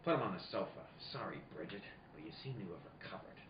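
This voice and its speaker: An actor playing a cool, terse, wisecracking private detective in an old-time radio noir play. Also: male voice, fairly low pitch, lively intonation, quick pace, lightly acted. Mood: dry, controlled. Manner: terse, wry, tough-talking. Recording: roomy, dull audio with faint noise.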